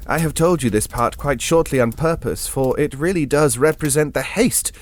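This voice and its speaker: An actor playing an earnest, bookish gentleman who recounts an eerie tale in an audio drama, wry, hesitant and reflective. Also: on the high side, normal speed, close to an everyday voice. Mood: reflective, uneasy. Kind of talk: ghost story narration in audio drama.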